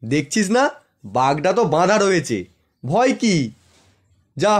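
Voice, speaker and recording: A man playing a bossy, bold village goatherd in an animated children's folktale, with a brash, commanding manner. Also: sits high, slow, full character voice.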